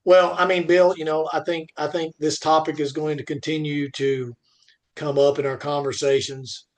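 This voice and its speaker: A man's voice: fairly high pitch, little pitch movement. Male podcast co-host, voice a bit above average, conversational, stop-start and insistent, circles back over key phrases. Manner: halting, repetitive, emphatic. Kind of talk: conversational industry podcast talk.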